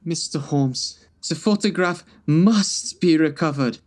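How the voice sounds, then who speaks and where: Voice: medium pitch, takes it slowly, darkish tone, natural voice. An actor playing a proud, anxious European king in a comedy audio drama, speaking in a grave, formal, pompous way. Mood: solemn.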